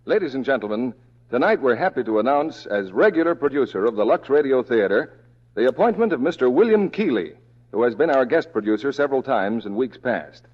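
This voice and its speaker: A man's voice: medium pitch, sing-song, fairly quick. A man making formal, declamatory scripted announcements in the style of 1940s radio. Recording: dull old radio recording, music behind.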